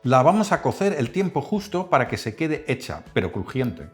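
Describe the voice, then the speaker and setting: Male cooking host, animated delivery over faint music. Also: mid-range voice, normal speed. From Netherlands. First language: Dutch.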